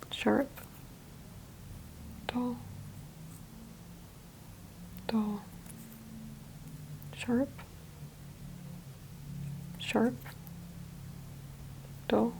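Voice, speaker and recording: A woman playing the patient in a soft-spoken ASMR roleplay, giving quiet, terse answers. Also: fairly high pitch, vocal fry, noisy background.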